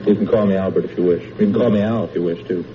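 A man's voice: deep voice, steady tone, racing. A man from Ohio, a quiz show contestant, giving easygoing, good-humored answers. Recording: dull old radio broadcast with hiss.

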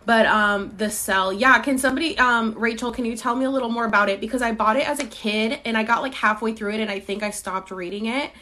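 A woman's voice: a little high, fast talker, few pauses, sharp tone. A young woman from Puerto Rico hosting a podcast, chatting loosely through comments on a live stream from her phone, full of ums and likes and casual swearing. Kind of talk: casual rambling chat. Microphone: phone during a live stream.

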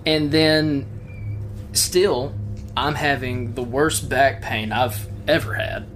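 A man co-hosting a podcast, rambling in casual chat with lots of fillers, his voice a little hoarse. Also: mid-range voice, slow pace, a bit bright, slight background noise. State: voice slightly hoarse. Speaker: podcast co-host.

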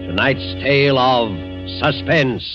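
A man's voice: mid-range voice, takes it slowly. A man as a formal, suspenseful announcer introducing a 1940s radio drama from a script. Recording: muffled 1940s broadcast, loud music behind.

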